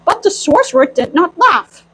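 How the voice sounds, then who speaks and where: A woman with an extremely high, lively voice tells a story and chats in character. She plays at being a cartoon mouse girl, with a childlike, playful manner and animated swings in pitch. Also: moderate pace. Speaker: storyteller in costume. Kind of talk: in-character storytime reading and chat.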